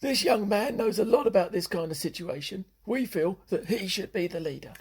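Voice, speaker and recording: A performer acting in an amateur radio play as a dotty senior with a husky, rough voice and a deliberate, solemn manner. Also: mid-range voice, expressive. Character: dotty senior who speaks as half of a pair.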